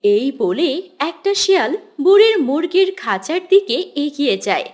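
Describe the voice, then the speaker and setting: A woman's voice: high voice, big pitch swings, moderate pace. A woman narrating a scripted children's cartoon story as its storyteller.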